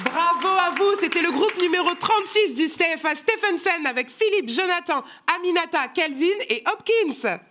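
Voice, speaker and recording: Lively, joking woman host. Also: very high-pitched, normal speed, hardly any pauses, crowd noise, noisy background. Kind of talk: stage announcing.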